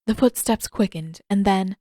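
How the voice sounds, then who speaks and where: A woman's voice: medium pitch, moderate pace, warm tone, no room sound, studio quality. A woman reading scripted fiction aloud as an audiobook narrator.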